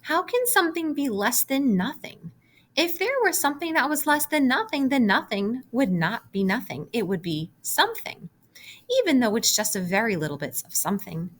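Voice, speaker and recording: A woman's voice: fairly high pitch, sing-song, moderate pace, pitched above the performer's own voice. A woman voicing a young, lonely male pig in a storybook, earnest and emphatic. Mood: indignant. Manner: pleading, argumentative, emphatic.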